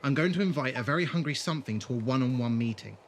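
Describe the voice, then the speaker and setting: A man's voice: medium pitch, lively intonation, quick pace, a little hiss or hum, natural voice. A man playing a defiant, sarcastic alien leader who takes charge in a fan audio drama. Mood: brisk, commanding. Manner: sarcastic, commanding.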